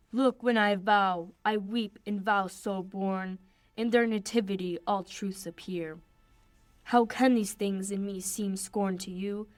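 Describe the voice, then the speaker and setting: An ardent young suitor voiced by a female in her late teens swears love in earnest verse. The voice is mid-pitched, in an amateur Shakespeare radio play. The sound is very dry. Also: dry recording, close to an everyday voice.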